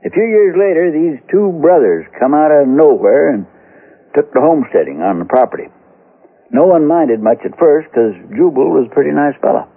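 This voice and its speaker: Husky folksy old-West ex-sheriff, tinny recording. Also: male voice, on the high side, sing-song, moderate pace, exaggerated voice. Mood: folksy, grave. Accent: American. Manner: drawling rustic storytelling. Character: folksy former frontier sheriff telling a grisly tale. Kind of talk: 1970s radio western acting. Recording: muffled, phone-like old radio recording.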